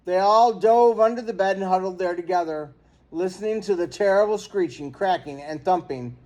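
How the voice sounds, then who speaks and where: A man reading a children's story aloud in an expressive, dramatic storytelling manner. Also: sits high, moderate pace. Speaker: storybook reader.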